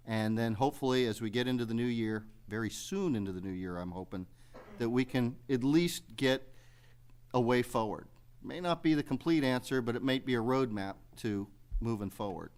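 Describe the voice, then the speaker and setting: Guy running a town meeting, clear resonant voice, talking off the cuff, measured and folksy. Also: medium pitch, a little mellow. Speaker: township committee member chairing a public meeting. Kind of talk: unscripted remarks at a public meeting. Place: public township meeting room.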